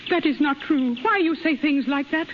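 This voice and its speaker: An actress in a 1930s radio drama playing a faithful, hopeful young wife, speaking earnest broken English. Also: female voice, sits high, moderate pace, close to an everyday voice. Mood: yearning. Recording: muffled hissy 1930s radio broadcast.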